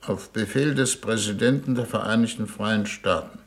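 An actor playing a weary, haughty male foreign minister on a mission, deliberate and formal, in 1950s German radio drama style. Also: fairly low pitch, close to an everyday voice. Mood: formal, cold. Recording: 1950s radio recording, background noise.

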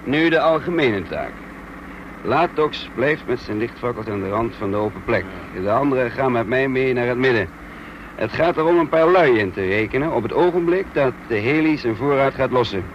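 An actor as a brisk military commander, muffled noisy recording. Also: male voice, mid-range voice, lively intonation, natural voice. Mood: businesslike. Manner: clipped, authoritative orders. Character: brisk commanding male military officer leading a raid. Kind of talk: radio drama acting.

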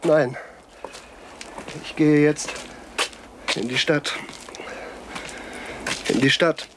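An actor playing a stubborn, suspicious explorer in a 1970s German radio drama, speaking in terse, probing phrases. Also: male voice, a little high, fairly even intonation, drawn-out words, noisy background. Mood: defiant. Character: stubborn, suspicious male explorer alone on a deserted island.

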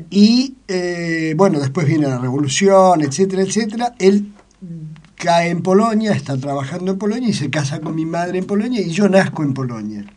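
A man's voice: high-pitched, animated, darkish tone. Older Argentine man, hesitant storytelling. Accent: Argentine Spanish. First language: Italian. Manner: halting, repeats words, many fillers. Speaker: interview guest. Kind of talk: casual radio interview.

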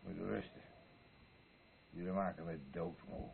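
A man acting a boisterous, rambling alcoholic husband in a Dutch radio play, halting and swinging between elation and weariness. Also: deep voice, flat delivery, creaky, narrow, tinny audio, a little hiss or hum, full character voice. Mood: exhausted.

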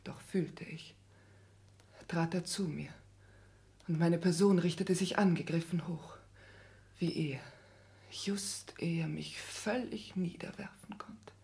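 An actress playing an earnest, proud young countess and dutiful daughter in a 1960s German radio drama, with formal, often hushed delivery. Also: female voice, lowish voice, steady tone, slow, vocal fry, pauses often. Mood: resolute.

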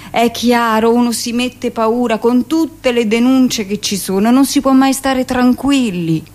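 An actress playing a callous, self-justifying middle-aged midwife in a radio monologue, talking in a chatty, matter-of-fact, scornful way. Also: female voice, medium pitch, darkish tone, close to an everyday voice. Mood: matter-of-fact, uneasy.

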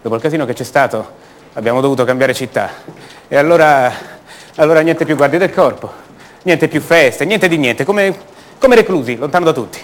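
A man's voice: on the high side, expressive, lightly acted. An actor playing a restless, defensive young man from a privileged family in a radio monologue, hesitating and repeating words. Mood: bitter, resigned. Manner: hesitant, halting, repeats words, rhetorical tags. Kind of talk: theatrical monologue, radio drama.

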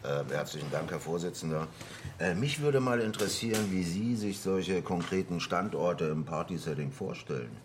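A stern conservative politician with a deep voice. He is formal, needling and rhetorical, as in a parliamentary debate in a radio play, with faint noise. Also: expressive, moderate pace. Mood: sceptical.

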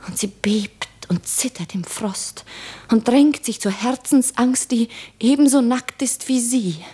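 Devoted, war-broken lover, husky, unhurried, lyrical, impassioned, radio play acting. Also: female voice, a little high, warm tone. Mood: hushed, despairing. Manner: impassioned, lyrical, dropping into breathy whispers. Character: anguished, devoted woman in love, broken by war.

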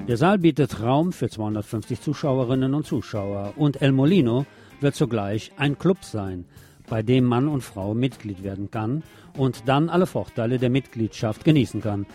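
Mellow male radio news presenter. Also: mid-range voice. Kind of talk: scripted local news narration.

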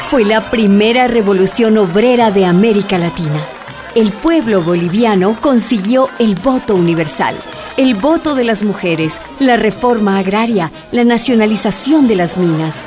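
A woman's voice: mid-range voice, moderate pace, music in the background, crowd noise. A woman narrating a scripted radio drama.

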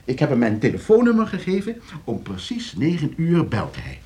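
An actor in a radio play playing a smug, cold-blooded schemer who explains things calmly and tauntingly to friends. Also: male voice, a little high, moderate pace. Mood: coolly explaining.

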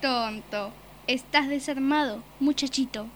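A woman playing a menacing, mocking beast in a radio drama, with a forceful, biting voice and a taunting, commanding manner. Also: high-pitched, unhurried. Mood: grim, scornful. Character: menacing beast, servant of the Nothing, mocking predator.

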